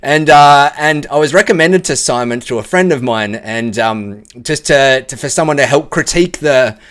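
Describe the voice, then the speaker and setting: A man's voice: mid-range voice, moderate pace, a bit bright, smooth. An Australian man giving a casual, candid spoken testimonial, chatty and unpolished. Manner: candid and chatty, many fillers and restarts.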